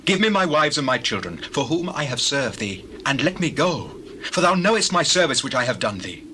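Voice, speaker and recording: An actor playing an earnest, righteous shepherd in a dramatized Bible reading, with declamatory delivery. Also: male voice, medium pitch, sing-song, brisk, sharp tone. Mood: earnest, insistent. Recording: noisy scene with sheep and bird effects.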